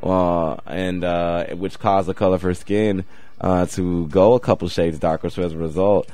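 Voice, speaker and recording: A very deep-voiced man hosts a talk show and tells a story with lively rises for emphasis. Soft guitar music plays faintly in the background. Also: normal speed, no room sound. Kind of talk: interview and anecdote.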